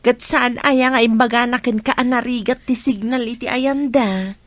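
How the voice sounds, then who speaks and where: A woman playing an outspoken, loyal best friend in a radio drama, expressive, with an Ilocano accent. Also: fairly high pitch, normal speed, no echo, natural voice. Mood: concerned. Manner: exclamatory, expressive. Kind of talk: Filipino radio drama acting.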